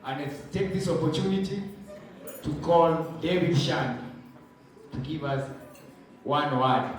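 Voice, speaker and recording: Male emcee, teasing, slightly high voice, far-off echoey PA. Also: steady tone, unhurried. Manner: teasing, joking with guests. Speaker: master of ceremonies. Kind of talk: event emcee speech. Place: large hall at an evening party. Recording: echoey hall from far off, crowd behind. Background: crowd chatter.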